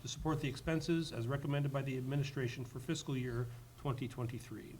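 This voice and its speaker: A man's voice: mid-range voice, a little fast, a little mellow. A man on a school committee formally reading out motions at a public meeting. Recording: noisy public meeting room recording.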